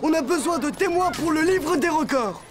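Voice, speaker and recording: A woman's voice: high-pitched, expressive, normal speed. A woman voicing an eager, chatty, bossy schoolboy in a French cartoon, full of enthusiasm. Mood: excited. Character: eager, chatty schoolboy, self-appointed manager and food lover. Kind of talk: French animated cartoon acting. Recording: music behind the voice.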